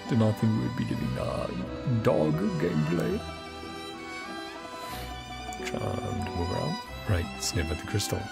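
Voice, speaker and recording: A man's voice: low voice, very animated, unhurried, gravelly, pauses often. A man giving casual let's play commentary, thinking aloud and reading game text out, often with a chuckle. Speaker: game streamer. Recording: game music behind voice. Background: video game music.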